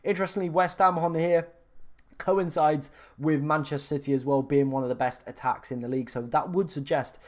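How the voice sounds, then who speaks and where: A man's voice: on the high side, fairly even intonation, a little fast. A young man from England chatting casually on a live stream, reading out viewer questions and talking through options with lots of fillers. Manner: chatty, filler-heavy, restarts, reads viewer questions aloud. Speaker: fantasy football streamer answering live chat.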